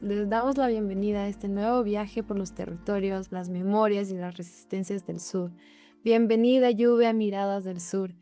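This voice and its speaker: A young woman with a mellow, warm voice reads a guest's introduction in a formal, heartfelt way. She co-hosts a podcast, and soft music plays faintly behind. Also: mid-range voice. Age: young adult. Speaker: podcast co-host.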